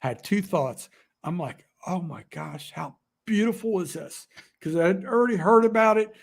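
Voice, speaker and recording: A man talking to camera in an emotional, rambling vlog, halting often with fillers and restarts. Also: high voice, lively intonation, creaky. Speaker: outdoor vlogger. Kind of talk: emotional casual monologue.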